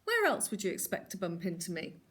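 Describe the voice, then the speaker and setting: A woman's voice: on the low side, wildly swooping pitch, a little fast, a bit bright. A woman voicing a cheeky, rude little boy who mocks the wolf. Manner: cheeky, mocking.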